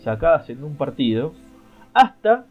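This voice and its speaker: A man co-hosting a casual podcast chat, joking around and often pausing on filler words. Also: fairly high pitch, very animated, narrow, tinny audio, faint music behind. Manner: joking, frequent "este" fillers. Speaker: podcast co-host.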